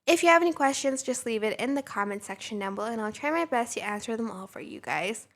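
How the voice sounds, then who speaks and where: A woman hosting a casual software tutorial, talking in an informal, chatty way. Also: fairly high pitch, animated, quick pace. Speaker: tutorial host.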